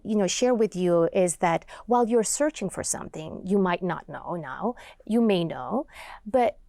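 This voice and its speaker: A woman with a Georgian accent, a poet and writer being interviewed in a TV studio, speaking in a reflective, earnest, unhurried way. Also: lowish voice, lively intonation, vocal fry, dark tone, no room sound.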